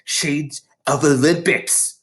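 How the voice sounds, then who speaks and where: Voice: mid-range voice, fairly even intonation, slow pace, close to an everyday voice. A reader voicing a knowing, scornful schoolgirl who gossips in a conspiratorial way in a children's storybook. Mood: knowing, gossipy.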